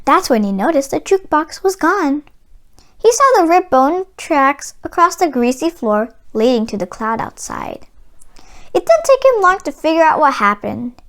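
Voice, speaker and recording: A woman narrating a children's storybook aloud in an expressive read-aloud style. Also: sits high, normal speed. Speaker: children's book reader.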